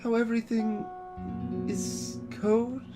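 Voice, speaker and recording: Female performer as inquisitive young video game protagonist. Tentative, puzzled, higher register, measured pace, music underneath. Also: level tone. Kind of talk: voiced reading of video game dialogue.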